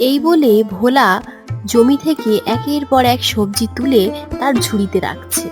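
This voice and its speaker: A woman's voice: on the high side, a little slow. A woman giving scripted narration of a fairy tale as storyteller. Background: background music.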